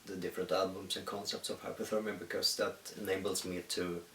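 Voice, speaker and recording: A Swedish man with a deep, rough voice muses aloud in English, stopping often to find his words. The mic sits a short way off, so the room echoes around him. A faint hiss runs underneath. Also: little pitch movement, fairly bright tone, distant mic. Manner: halting, thoughtful, many pauses. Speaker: musician. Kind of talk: reflective solo monologue. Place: at home in the countryside.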